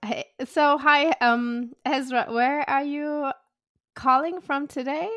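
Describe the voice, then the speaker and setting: A woman's voice: high voice, fairly even intonation, takes it slowly, a bit bright, no echo, clean studio sound. A woman hosting a podcast, talking in a curious, casual way with many fillers while interviewing a guest on a call. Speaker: podcast host.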